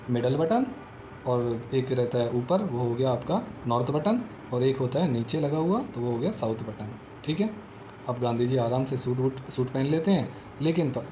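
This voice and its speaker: A man explains exam memory tricks, walking through a little story step by step and checking in with the listener again and again. His voice is warm and mellow at an ordinary pitch. A faint hiss sits under the recording. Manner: repetitive, peppers talk with "theek hai" and "hai na". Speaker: exam coaching teacher. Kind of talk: casual explanatory lesson with mnemonic tricks.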